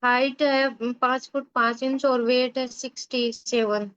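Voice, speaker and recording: A woman's voice: sits high, flat delivery, measured pace, a bit bright, clear, resonant tone, no echo, muffled recording. A woman in her twenties describing her health problems as a patient on a video call, polite and a bit hesitant as she lists her symptoms. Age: 20s. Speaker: patient, recent Ayurveda graduate. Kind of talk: casual consultation answers.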